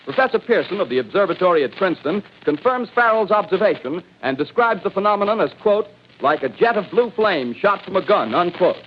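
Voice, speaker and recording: A formal bulletin announcer in a vintage radio drama, clipped and urgent. He speaks fast and high. The sound is muffled, with a faint hiss behind it. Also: expressive. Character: brisk formal male news announcer.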